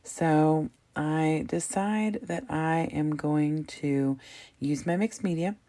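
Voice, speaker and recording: A woman with a low, mellow voice, a little froggy and hoarse, narrates her scrapbooking process. She talks casually and meanders, full of ums and quick self-corrections. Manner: chatty, rambling, with frequent ums and self-corrections. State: slightly hoarse, froggy throat from allergies. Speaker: craft video host.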